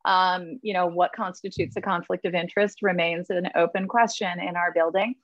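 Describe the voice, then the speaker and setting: A woman's voice: on the low side, steady tone, moderate pace, lo-fi sound. A woman, a state representative, talking candidly in a virtual town hall, with lots of fillers. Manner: chatty, many fillers, candid. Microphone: video call.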